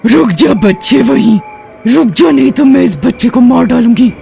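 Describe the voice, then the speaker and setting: A man voicing a menacing old forest witch in a horror story, with threatening, drawn-out taunts. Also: extremely high pitch, expressive, gravelly, mellow, faint music behind, full character voice. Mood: menacing, gloating. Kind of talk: dramatized Hindi horror story.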